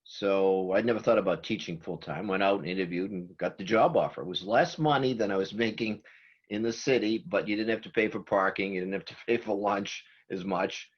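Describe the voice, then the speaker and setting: A man's voice: fairly low pitch, brisk, breathy edge, lo-fi sound. A man in his seventies from Massachusetts with a Boston accent, chatting as a guest and laughing easily. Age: 70s. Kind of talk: casual video call chat.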